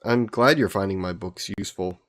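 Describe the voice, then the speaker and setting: A man acts out an ancient vampire nobleman with a low voice. He is courtly and protective, with a gently teasing streak, and phrases everything formally, as in a romantic audio drama. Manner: formal, genteel, measured phrasing. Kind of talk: scripted romantic audio roleplay.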